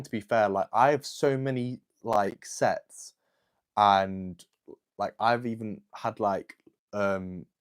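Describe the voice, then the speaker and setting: An eighteen-year-old man hosting a casual livestream, chatting and answering viewer comments with lots of "like" and "um". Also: fairly low pitch. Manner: chatty and rambling, with many "like" and "um" fillers.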